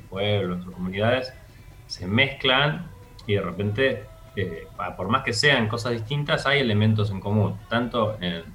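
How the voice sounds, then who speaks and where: A man's voice: on the low side, a bit bright. A man talking about music on an educational radio show, explaining things with hesitant fillers. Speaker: music commentator.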